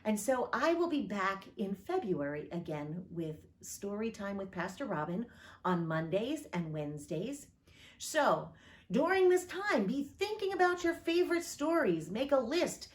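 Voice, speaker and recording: Slow, expressive woman pastor reading aloud. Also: medium pitch. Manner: expressive, lilting read-aloud delivery; friendly direct address to listeners.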